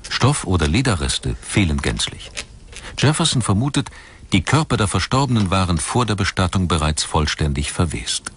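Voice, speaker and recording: A man narrating a scripted documentary in a measured, articulate storytelling manner. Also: deep voice, big pitch swings, background noise. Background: background music.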